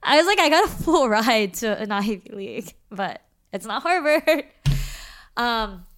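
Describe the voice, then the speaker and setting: A woman's voice: a little high, lively intonation, a little slow, fairly bright tone. A young woman in her twenties co-hosting a casual podcast, talking with lots of "like" fillers as she tells stories. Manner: frequent "like" fillers, storytelling. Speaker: podcast co-host.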